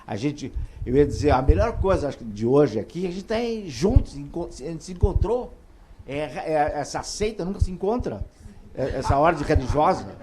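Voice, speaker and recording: A man moderating a conference panel, halting and repeating words as he talks. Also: on the high side, big pitch swings, warm tone, slight background noise. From southern Brazil. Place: large auditorium stage.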